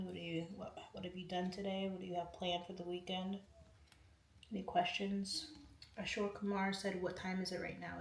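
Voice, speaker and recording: Woman livestream host, relaxed chatty tone, roomy echo, faint background hiss. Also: medium pitch. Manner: reads out viewer comments and answers each one. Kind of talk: casual livestream chat. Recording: echoey room, faint noise.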